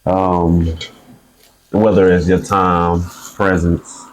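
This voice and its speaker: A man's voice: low voice, little pitch movement, drawn-out words, slight background noise, low-quality recording. A man who is a guest answering relationship questions, talking in a rambling, hesitant, jokey way in casual chat.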